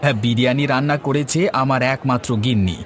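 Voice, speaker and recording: A man's voice: mid-range voice, fast, crowd noise, natural voice. A man voicing a cheerful village biryani shop owner in a cartoon, hearty and eager to please. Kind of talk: Bengali cartoon voice acting. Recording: background music.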